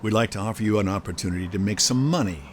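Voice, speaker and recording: An actor playing a smug, sly mob lawyer who needles and insinuates, in a radio drama. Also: male voice, on the low side, very fast, slight background noise, natural voice. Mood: sly, persuasive. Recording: outdoor ambience with birdsong.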